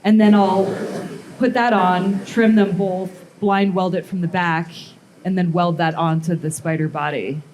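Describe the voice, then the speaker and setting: A woman giving a casual live metalworking demonstration, explaining steps informally and haltingly as she thinks aloud. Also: on the low side, fairly even intonation, a little mellow, slight background noise, rough recording. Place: live blacksmithing demonstration before onlookers.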